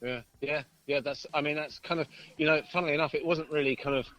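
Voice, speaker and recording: A man speaking as a musician guest in a casual interview, explaining things in a rambling, halting way with many false starts. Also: a little high, fairly even intonation, a bit bright, poor audio. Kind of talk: casual chat.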